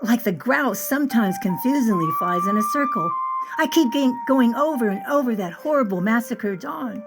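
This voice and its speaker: A woman's voice: a little high, animated, moderate pace, loud music behind the voice, voice pushed up. A woman voicing a spirited sixteen-year-old girl who is haughty and mocking. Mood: troubled. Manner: bright, mocking, defiant.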